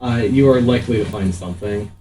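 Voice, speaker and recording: A man running a tabletop game as game master, narrating off the cuff with pauses mid-sentence. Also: lowish voice, mellow. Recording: echoey, noisy room, distant mic.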